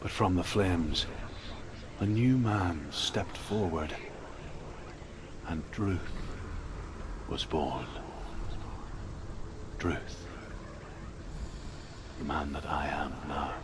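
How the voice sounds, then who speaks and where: A man's voice: rumbling low, background noise, heavily acted. A man playing a weary former slave who tells his tale solemnly and sorrowfully in a video game. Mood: solemn, resolute. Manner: slow, grave, deliberate, sorrowful. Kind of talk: dramatic video game storytelling.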